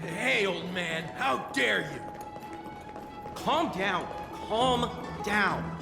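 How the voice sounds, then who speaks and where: A man's voice: high voice, expressive, very slow, creaky, roomy sound, big-room sound, over music, heavily acted. A man voicing a jittery, indignant lackey guard in a video game, cowardly yet blustering. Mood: indignant, nervous.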